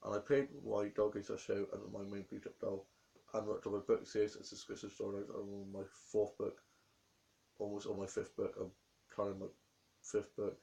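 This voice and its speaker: A young man with a croaky voice from a cold, rambling through a diary vlog about his day. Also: on the low side, monotone, normal speed, dark tone, rough recording. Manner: rambling, run-on storytelling. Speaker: vlogger.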